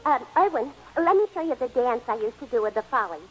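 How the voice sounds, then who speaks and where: A woman's voice: on the high side, expressive, fast talker, husky, poor audio. An actress playing a naive, good-hearted New chorus girl in a 1940s radio comedy, slangy and artless. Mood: soothing, eager. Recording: old 1940s radio broadcast recording.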